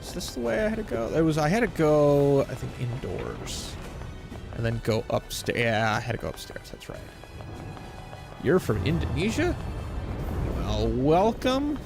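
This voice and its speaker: A man's voice: medium pitch, very animated, unhurried, gravelly. An American man in his late thirties chatting casually as he live streams a game, often distracted and thinking aloud. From west coast of the United States. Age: late 30s. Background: video game music.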